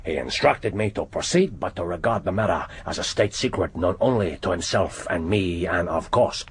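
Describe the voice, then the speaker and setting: Deep-voiced Japanese spymaster. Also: male voice, lively intonation. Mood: commanding. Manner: formal, deliberate phrasing with wry chuckles. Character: commanding older Japanese intelligence chief, wry and imperious. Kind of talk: radio drama acting. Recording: noisy radio drama recording.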